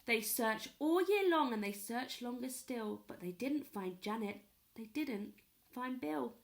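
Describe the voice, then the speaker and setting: Female storyteller, primary-school storytime: expressive, unhurried delivery, slightly high pitch. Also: gravelly. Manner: pauses to ask young listeners questions. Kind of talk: expressive children's story reading.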